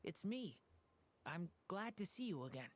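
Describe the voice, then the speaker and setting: A woman playing a polite, earnest young game character who speaks with formal, measured wording. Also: lowish voice, sing-song. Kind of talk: video game character dialogue.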